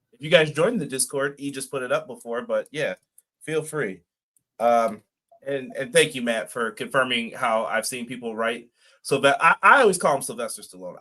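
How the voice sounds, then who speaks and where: A man's voice: medium pitch, sharp tone. A man co-hosting a live wrestling podcast, chatting casually, reading viewer comments aloud and laughing often. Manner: laid-back, reads chat comments aloud, laughs often. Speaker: podcast co-host. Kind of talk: casual live-stream chat.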